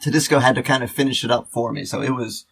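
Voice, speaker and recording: A man co-hosting a podcast with casual banter, halting and often repeating his words. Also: mid-range voice, steady tone, creaky, breathy edge. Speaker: podcast co-host.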